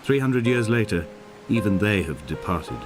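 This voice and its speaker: An actor playing a solitary, melancholy middle-aged man, narrating in a measured, solemn, literary style for a game. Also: fairly low pitch, expressive, lightly acted. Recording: music behind the narration. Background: clear background music.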